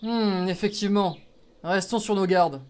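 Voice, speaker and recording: A man's voice: high-pitched, a little slow, slight background noise. A man playing a courteous, watchful young adventurer in an audio drama, with polite, formal phrasing. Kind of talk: acted audio fiction dialogue.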